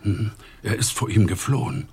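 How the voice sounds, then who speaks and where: An actor playing an anxious wealthy man in a radio play who speaks slowly and hesitantly. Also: on the low side, a little mellow, slightly rough. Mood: uneasy. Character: rich, anxious man haunted by his father's past.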